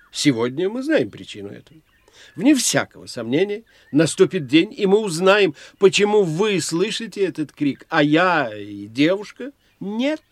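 An actor playing a learned older professor in an audio drama, lecturing in a theatrical, condescending manner. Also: male voice, on the high side. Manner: didactic, theatrical, condescending to his young friend. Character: animated, learned older professor investigating psychic phenomena.

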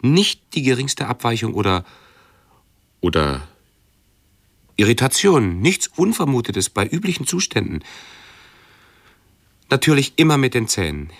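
An actor in a radio drama playing an anxious, pedantic hypochondriac who speaks in a halting, brooding, fussily precise way. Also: male voice, mid-range voice, very animated, gravelly, no room sound, exaggerated voice. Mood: anxious, fussy. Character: anxious, pedantic male hypochondriac with obsessive self-observation. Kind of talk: radio drama monologue and dialogue.

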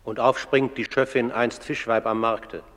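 A man's voice: medium pitch, little pitch movement, unhurried, a bit bright, lightly acted. A man narrating a radio drama about a tribunal of the dead, grave and solemn. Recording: long hollow reverb.

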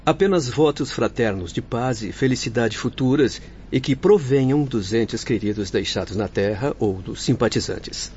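A man's voice: mid-range voice, expressive, moderate pace, few pauses, a little mellow, close to an everyday voice. Man acting an earnest, kindly helper, muffled sound. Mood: consoling. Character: earnest, kindly son of the doctor, consoling helper. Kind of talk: radio soap opera acting. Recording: muffled, noisy radio drama recording.

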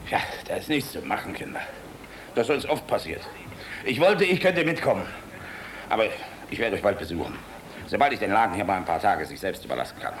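Hearty general, hugely lively voice. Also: male voice, fairly low pitch, brisk, background noise. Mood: sober commanding. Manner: hearty, commanding, teasing, swinging between bellowing and confiding. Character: boisterous, jovial, cynical Luftwaffe general, fatherly toward young officers. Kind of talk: German radio play acting.

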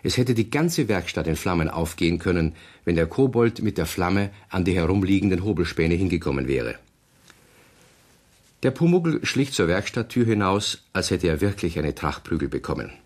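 A man narrating a German children's radio play as a calm storyteller, in scripted storybook style. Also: deep voice, normal speed. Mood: calm, storytelling.

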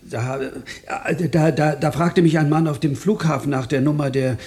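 An actor playing a nervous Western comedian who stammers and hesitates constantly. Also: male voice, fairly high pitch, fairly even intonation, moderate pace, a little mellow, natural voice. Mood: flustered. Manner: stammering, hesitant, full of fillers. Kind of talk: 1990s radio play acting.

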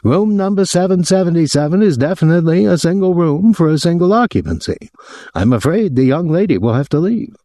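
A man's voice: on the high side, very animated, a little fast, mellow, no echo, pitched above the performer's own voice. A man voicing an aggrieved, officious male hotel clerk in an audiobook, with a prim and pompous formal manner. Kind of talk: audiobook character voice.

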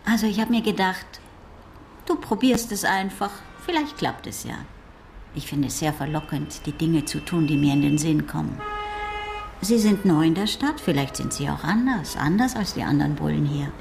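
An actress playing a curious, impulsive oceanographer in a radio crime drama, musing and self-assured in conversation. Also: female voice, low voice, animated, normal speed, creaky, a little mellow, slight background noise. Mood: frank, musing.

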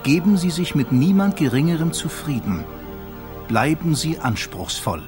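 A man's voice: a little high, big pitch swings, moderate pace. An actor in a radio play playing a slick, enticing male advertising announcer who delivers slogans. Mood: seductive selling. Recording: music loud behind the voice.

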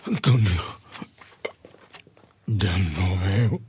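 A man's voice: low-pitched, extremely slow, a little mellow, slight background noise. A man playing a frail, dying priest in a radio drama, weak, exhausted and resigned. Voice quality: weak, husky, breathy. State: failing, exhausted. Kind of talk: Spanish-language radio drama acting.

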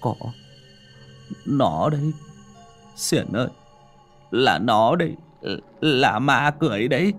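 A man's voice: mid-range voice, expressive, takes it slowly, long pauses, a bit bright, faint music behind, pitched above the performer's own voice, full character voice. A man voicing a terrified young village man who stammers in panic. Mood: frightened.

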